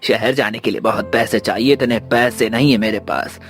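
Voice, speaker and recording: A man's voice: fairly low pitch, fairly even intonation, moderate pace, close to an everyday voice. A man voicing a haughty, callous village headman in a moral cartoon, with a scornful, domineering manner. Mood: dismissive. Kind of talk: scripted cartoon dialogue. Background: background music.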